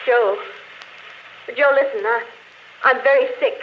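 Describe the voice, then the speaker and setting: A muffled, slightly noisy old recording of an actress playing a desperate, dying woman. Her high voice pleads fearfully in overwrought 1940s radio melodrama style. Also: measured pace, lightly acted. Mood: frightened, pleading.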